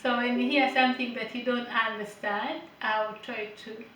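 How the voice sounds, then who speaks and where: Woman with a high voice in an echoey room. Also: fairly even intonation, normal speed, bright, forceful tone, slight background noise. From Uganda. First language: not English; Finnish is her third language. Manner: hesitant with fillers, laughs lightly, chatty asides. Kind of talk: informal health lesson, reading and explaining. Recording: echoey room, voice a bit far from the mic.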